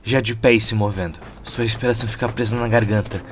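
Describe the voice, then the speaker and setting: A man's voice: on the low side, fairly even intonation, quick pace. A man with a Brazilian accent reading a dramatic scripted story as narrator of an audio drama.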